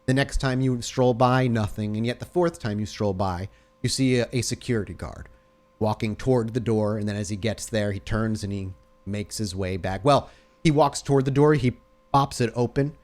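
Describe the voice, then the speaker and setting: A man's voice: on the low side, normal speed, a little mellow. Male game master narrating a scene. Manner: descriptive, scene-setting. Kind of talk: improvised tabletop game narration.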